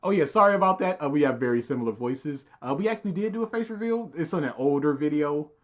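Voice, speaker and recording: A young man co-hosting a casual reaction commentary, laughing often and breaking into loud outbursts. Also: on the high side, animated, quick pace. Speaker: co-host reacting to a video.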